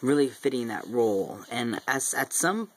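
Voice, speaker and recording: A young man from Central Texas talks casually to his camera, his faint Texan accent surfacing now and then. He rambles and restarts his thoughts, filling pauses with ums. His voice sits at an ordinary middle pitch. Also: animated. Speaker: regular vlogger. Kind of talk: casual vlog monologue.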